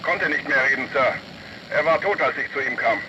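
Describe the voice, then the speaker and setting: An actor playing a formal, factual police officer reporting over a radio in a crime play. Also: male voice, medium pitch, brisk. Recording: dull radio sound, loud noise.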